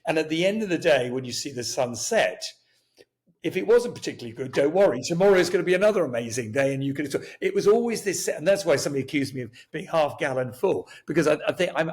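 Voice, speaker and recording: Older Kenyan-raised man telling stories. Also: mid-range voice. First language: Swahili. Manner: animated, chuckling, many restarts. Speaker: guest. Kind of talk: storytelling interview talk.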